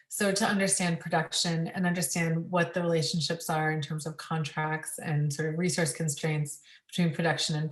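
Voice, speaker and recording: Woman, panel talk, halting, deep voice, echoey room. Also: recorded from a distance. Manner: thoughtful, many ums and restarts.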